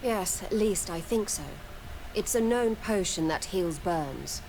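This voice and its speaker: Earnest, worried field nurse. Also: female voice, mid-range voice, expressive, slow pace, a little mellow, slight background noise, rough recording. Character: worried, exasperated field nurse, caring and frank. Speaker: infirmary nurse character in a role-playing video game. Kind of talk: video game voice acting.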